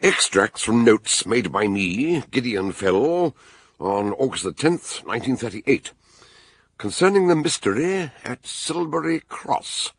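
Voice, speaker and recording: A learned, eccentric British detective in a radio mystery. The voice is very husky and lowish, swinging widely in pitch as he muses, in a close, dry sound. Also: big pitch swings, a little slow, no echo, exaggerated voice. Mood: measured, narrating. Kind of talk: British radio detective drama, part narration.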